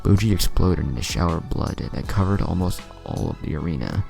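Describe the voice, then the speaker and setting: Very deep, gravelly male story narrator. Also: lively intonation, a little fast, a little mellow, soft background music. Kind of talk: narrating anime fan fiction.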